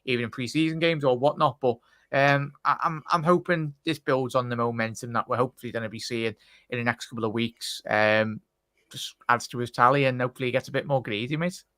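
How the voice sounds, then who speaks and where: A man's voice: mid-range voice, fairly even intonation, a little fast, bright, forceful tone, no echo. A man hosting a casual live football reaction stream on a video call, chatty and calling everyone mate. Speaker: host.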